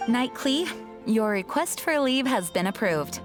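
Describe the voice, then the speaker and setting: A woman's voice: a little high, animated, unhurried. A woman playing a serious, dutiful young knight commander in an anime video game, formal and earnest. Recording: music clearly behind the voice.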